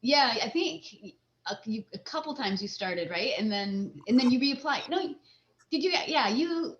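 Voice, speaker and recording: A woman's voice: on the high side, expressive, moderate pace, fairly bright tone, lo-fi sound, a little hiss or hum. A woman advising students in an online info session, upbeat with filler ums, speaking over a video call. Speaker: fellowship advisor.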